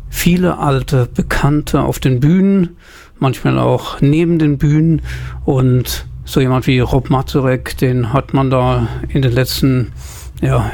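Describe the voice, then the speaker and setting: A man's voice: mid-range voice, gravelly, darkish tone. A man presenting jazz on the radio, chatting casually and thinking aloud with the odd filler.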